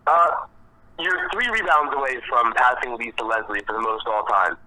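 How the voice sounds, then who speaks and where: A man's voice: medium pitch, normal speed, sharp tone, poor audio. A man, a sports reporter, putting a press question over a telephone conference line.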